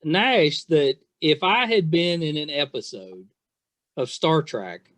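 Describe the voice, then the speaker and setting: Lower-pitched, animated American woman host. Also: slow pace. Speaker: podcast host, interviewer. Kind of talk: casual interview chat.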